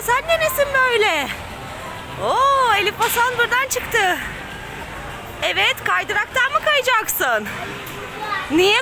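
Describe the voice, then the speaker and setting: Very high-pitched woman playing along, noisy background. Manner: exclamatory, coaxing, encouraging; physically active while talking. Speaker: family vlog host playing along with a child. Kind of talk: playful running commentary addressed to a child. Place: play park obstacle course. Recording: noisy play area ambience.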